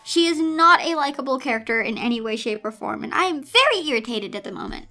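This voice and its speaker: Woman, very bright high voice, casual read-along host, exasperated asides, sarcastic, light background music. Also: lively intonation, fast. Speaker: host reading fan fiction aloud. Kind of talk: casual reading with exasperated running commentary.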